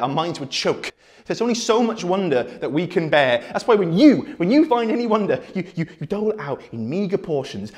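An actor playing a desperate, furious man raging at his audience in a halting, repetitive dramatic monologue. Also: high-pitched. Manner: halting, repeating, swearing, building to outbursts.

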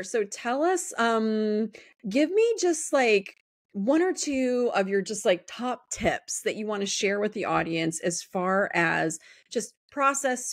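A woman's voice: mid-range voice, no echo, studio quality. A woman hosting a podcast interview, bubbly and playful in casual chat. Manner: bubbly, playful, quick bursts of enthusiasm. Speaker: podcast host.

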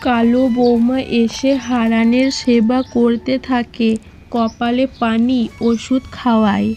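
A woman's voice: on the high side, flat delivery, slow, dark tone. A woman narrator reading a scripted children's moral story. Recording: dull lo-fi audio.

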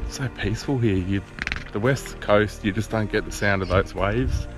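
Low-voiced man, outdoor vlog. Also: normal speed, over music. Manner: chatty, teasing. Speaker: host. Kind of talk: casual outdoor vlog chat. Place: outdoors on a tidal mudflat by the sea.

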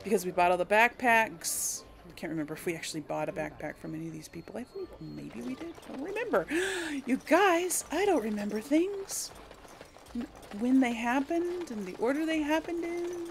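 A woman's voice: fairly high pitch, animated, normal speed, gravelly, a little hiss or hum. A woman giving casual let's play commentary, chatting to her viewers and thinking aloud as she plays. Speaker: video game player hosting a series. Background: game music.

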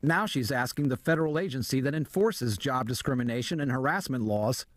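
A man's voice: mid-range voice, brisk, no room sound, clean studio sound. An American man in his forties, an investigative television reporter reading scripted news narration.

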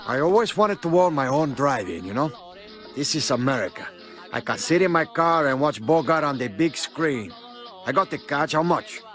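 A man's voice: a little high, expressive, moderate pace, a bit bright, faint music behind. An actor playing a brash, hot-tempered male drug lord in a video game cutscene, with a profane, swaggering way of talking. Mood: cocky. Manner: swaggering, profane, cursing constantly.

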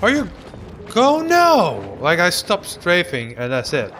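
A man's voice: high-pitched, huge pitch swings, takes it slowly, fairly bright tone, a little hiss or hum. A young man giving casual, sarcastic let's play commentary, joking and ranting about the game. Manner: goofy, sarcastic, hums and mock voices.

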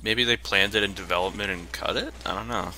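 Low, very bright-voiced man, noisy mic. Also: a little fast, vocal fry. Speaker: let's play co-commentator. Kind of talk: casual chat over gameplay.

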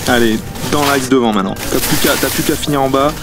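Young guy yelling ski play-by-play on a mountain, swears a lot, music under it, action cam sound. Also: medium pitch, fast talker. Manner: animated, swearing, teasing friends. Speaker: freeride ski vlogger commenting a competition. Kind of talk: excited live commentary. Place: outdoors on a snowy mountain.

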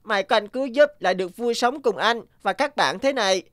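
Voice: medium pitch, expressive, brisk, fairly bright tone, no room sound, studio quality, natural voice. A performer voicing a friendly young male snake, a polite, childlike prince in a cartoon. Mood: eager, polite. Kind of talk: cartoon dialogue.

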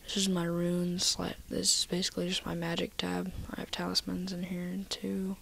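A woman casually walking through her game inventory in a soft, half-whispered voice, a little nasal from a cold, recorded on a computer mic. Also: lowish voice, completely flat delivery, normal speed, creaky, a little hiss or hum. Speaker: gaming video maker.

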